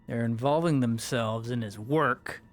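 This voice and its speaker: A man streaming a roleplay, narrating his own character in the third person in a slow, musing way with many pauses. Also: mid-range voice, faint music behind.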